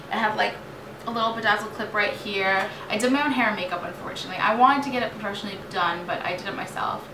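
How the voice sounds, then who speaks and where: Chatty young American woman, echoey distant recording. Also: medium pitch. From New York State, United States. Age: late teens. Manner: animated, chatty, dramatizes remembered exchanges. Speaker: vlogger. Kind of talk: casual storytime vlog chat. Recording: roomy echo, distant mic, clearly noisy.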